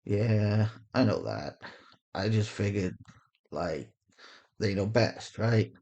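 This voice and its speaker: A performer voicing a weary, resigned male interrogator with quiet menace, speaking in a measured, understated way in an audio drama. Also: low voice, lively intonation, creaky, muffled recording, heavily acted. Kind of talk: acted audio fiction.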